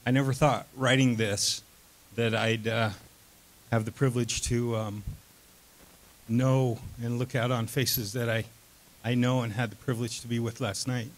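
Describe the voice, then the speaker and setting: A man reading his own first-person story aloud on stage, deliberate, pausing between short phrases. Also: mid-range voice, little pitch movement, poor audio. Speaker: reader of his own written piece.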